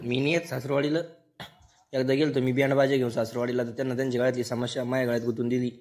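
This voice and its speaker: Fast-talking grumpy village husband played by a man. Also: mid-range voice, little pitch movement, darkish tone, natural voice. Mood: grumpy, sarcastic. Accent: rural Maharashtrian Marathi. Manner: complaining, mocking. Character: grumpy, sarcastic village husband and touchy son-in-law. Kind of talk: comedy cartoon dialogue in colloquial Marathi.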